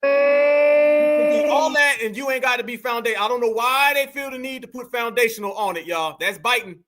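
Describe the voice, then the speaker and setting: Emphatic high-voiced man commenting on clips. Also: normal speed, fairly bright tone. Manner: emphatic, addresses viewers as family. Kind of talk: casual reaction commentary.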